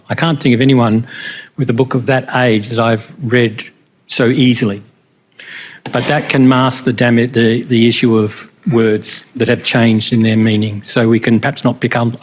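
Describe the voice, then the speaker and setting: Man, panelist, middle pitch, hesitant, apologetic. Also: husky. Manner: hesitant and apologetic, frequent restarts. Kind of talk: panel discussion answer.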